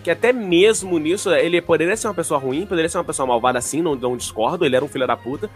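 A man's voice: fairly high pitch, very fast, faint music behind. A man with a slight lisp, co-hosting a casual podcast chat, swearing and laughing often as he argues his point. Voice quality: mild speech impediment. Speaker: podcast co-host.

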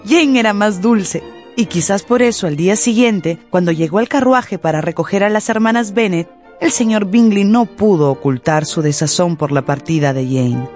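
A woman's voice: medium pitch, normal speed, a little mellow, soft background music. A woman narrating a radio drama as a scripted storyteller.

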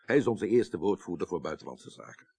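A very low, gravelly Dutch man as a worldly party leader in an eighties radio play. He is wry and urbane, and his pitch moves theatrically as he weighs each point. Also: big pitch swings, a little fast, dark tone, dry recording, heavily acted. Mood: confiding, measured. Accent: Dutch, Netherlands. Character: shrewd, worldly male senior politician, wry party leader. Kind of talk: 1980s radio drama acting.

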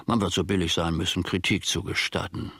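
An actor playing a pedantic, simple-minded manservant in a radio play, speaking in a ponderous, mock-learned, rambling way. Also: male voice, low-pitched, flat delivery, fairly quick, a bit bright, husky, no room sound, clean studio sound. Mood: pompous, critical. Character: pedantic, simple-minded male servant of a philosopher. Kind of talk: German radio play acting.

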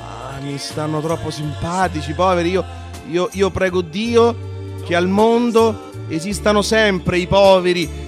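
Cattolico di sinistra agitato, voce acuta. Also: male voice, high voice, measured pace, faint music behind. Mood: earnest, fussy. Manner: stammering, repeating phrases, swinging from muttering to shouting. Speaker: narrator-actor of a satirical monologue. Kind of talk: theatrical comic monologue for radio.

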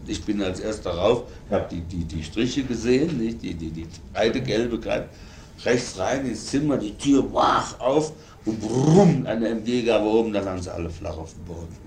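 Male interviewee, lowish voice, colloquial and repetitive storyteller, captured on a roomy archive recording. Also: animated, moderate pace, a little mellow, slight background noise. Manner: colloquial, repeats words, vivid storytelling. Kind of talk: casual spoken recollection.

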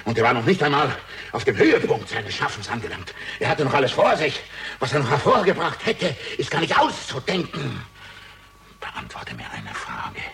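A man's voice: low-pitched, vocal fry, fairly bright tone, slightly rough, full character voice. An actor playing an arrogant, gloating older murderer and proud father in a theatrical German radio crime drama, sneering and grandiose. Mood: grandiose, fervent, then hushed, intense. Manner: theatrical, grandiose, sneering, swinging between murmur and outburst.

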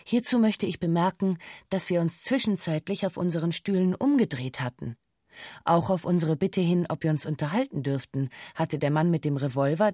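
An actress playing a bank employee who gives a sober, formal witness statement in a radio drama, factual and detached. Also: female voice, deep voice, dark tone, dry recording, studio quality. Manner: factual, detached, precise official phrasing. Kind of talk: scripted documentary radio drama, formal testimony.

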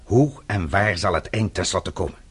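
An actor playing a vengeful middle-aged father in a radio play, speaking in a measured, deliberate and formal way. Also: low-pitched, slightly rough. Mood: cold menace. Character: vengeful father, composed, coldly methodical older man.

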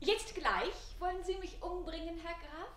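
An actress playing a young, quick-witted lady's maid in a radio play, with a lively, pert manner. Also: extremely high pitch, roomy sound, slight background noise. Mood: pert, composed. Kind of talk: classic German radio play acting.

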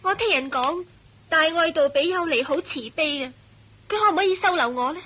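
A distressed young woman with a Hong Kong Cantonese accent, speaking in a high, bright voice. Her delivery is earnest and pleading throughout. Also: brisk. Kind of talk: radio drama acting.

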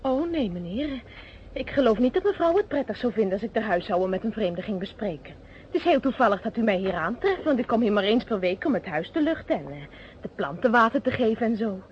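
Flustered young housekeeper, defensive, hesitant, lively melodic pitch, dull vintage radio drama recording. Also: female voice, brisk, slight background noise. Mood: guarded. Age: young adult. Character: wary, flustered young female housekeeper being questioned.

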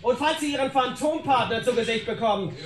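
A man's voice: extremely high pitch, brisk. An actor playing a coarse, hot-tempered policeman who barks and curses aggressively in a radio drama. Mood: furious. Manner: aggressive, shouting, cursing. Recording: roomy echo, faint music behind.

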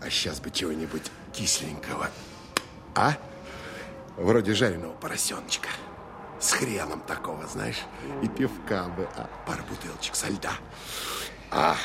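A man's voice: deep voice, expressive, moderate pace, gravelly, long pauses, noisy background. An actor playing a wry, seasoned Soviet army counterintelligence man in a radio play, talking in a colloquial, teasing, coaxing way. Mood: wry, hungry.

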